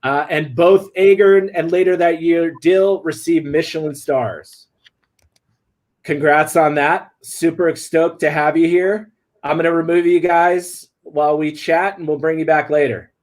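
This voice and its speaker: A man's voice: on the high side, fairly even intonation, a little slow, a little mellow. A man hosting an online talk stream, upbeat and laid-back, running through housekeeping and introducing a guest. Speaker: host. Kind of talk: casual livestream hosting.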